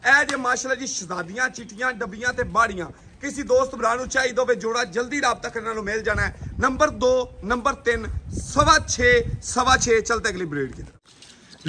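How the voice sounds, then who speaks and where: A man's voice: very high voice, bright, forceful tone, a little hiss or hum. A middle-aged man hosting a cattle sales video outdoors on a farm, showy and upbeat, directing the handlers. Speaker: livestock video host. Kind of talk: sales pitch showing cattle.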